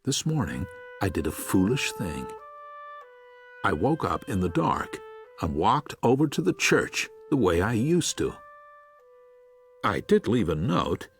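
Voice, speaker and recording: An actor playing an aging small-town reverend, reflective and self-doubting, speaking pensively with wry hesitations in a literary radio drama. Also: male voice, lowish voice, very animated, unhurried, vocal fry, long pauses, faint music behind, heavily acted.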